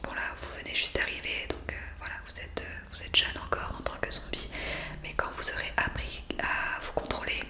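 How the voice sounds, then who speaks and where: Woman whispering an ASMR roleplay. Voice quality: breathy, unvoiced whisper. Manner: hesitant, halting, softly explanatory. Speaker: ASMR creator playing a counsellor for new zombies.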